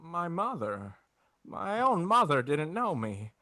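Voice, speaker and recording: A man playing a desperate family man in an old-time radio drama, pleading in a halting stammer. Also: a little high, wildly swooping pitch, sharp tone, no echo, full character voice. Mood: desperate, angry. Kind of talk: 1940s radio drama acting.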